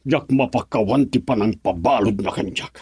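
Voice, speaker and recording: A very husky, vengeful older father. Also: fairly low pitch, moderate pace, darkish tone, dry recording, studio quality. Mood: bitter, threatening. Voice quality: husky, rough. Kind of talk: radio drama acting.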